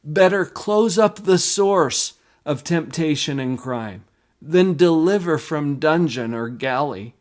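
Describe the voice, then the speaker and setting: Slow, higher-pitched man reciting poetry. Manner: deliberate, expressive storytelling delivery. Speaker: poem reader.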